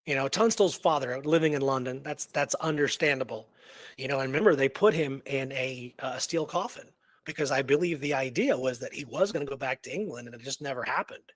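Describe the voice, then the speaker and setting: A man's voice: medium pitch, fairly quick, fairly bright tone, dry recording. A man co-hosting a history show in casual, conversational discussion, often dropping in "you know".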